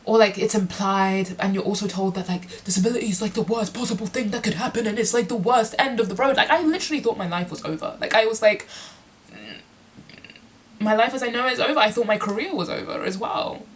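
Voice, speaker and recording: A young woman talks openly in a personal vlog. Her voice is sniffly and congested from a cold. She is reflective and unhurried, leaning on frequent "like" fillers as she gathers her thoughts. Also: medium pitch. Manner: reflective, heavy use of "like" fillers. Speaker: musician and performer.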